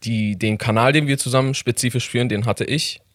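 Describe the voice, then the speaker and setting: A man with a slight Iranian accent, a filmmaker guest in a casual interview who talks haltingly, with many fillers and frequent laughs. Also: medium pitch, a bit bright, no room sound, clean studio sound. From Iran.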